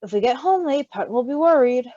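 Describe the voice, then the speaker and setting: A woman's voice: sits high, expressive, fairly quick, dark tone, no room sound, dull audio. A woman voicing a grumpy, hesitant male creature in a storybook reading.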